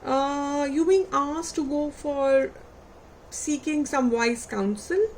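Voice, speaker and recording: A woman reading tarot cards for her viewers, unhurried and reflective, putting gentle questions to them and losing her voice. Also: high-pitched, slight background noise. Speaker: tarot reader.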